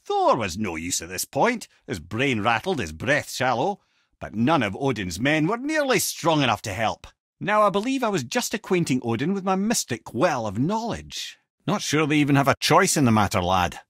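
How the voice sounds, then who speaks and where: Husky, wry old Scottish storyteller. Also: male voice, mid-range voice, huge pitch swings, moderate pace, a bit bright, no room sound, studio quality. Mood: grave storytelling, then wry, companionable. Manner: eloquent, lilting, theatrical storytelling delivery. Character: witty, learned old storyteller companion, worldly and wry. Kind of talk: video game character dialogue and lore storytelling.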